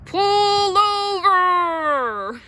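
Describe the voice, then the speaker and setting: A woman voicing a stern male police officer from a storybook, official and deliberate. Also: very high-pitched, animated, pushed and bright, pitched above the performer's own voice, full character voice. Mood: commanding. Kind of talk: children's storybook read aloud.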